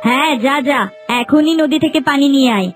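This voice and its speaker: A woman voicing a haughty, cruel, scheming young wife in a cartoon, bossy and sharp-tongued. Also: high voice, fairly even intonation, brisk, faint music behind, natural voice. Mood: bossy, scornful.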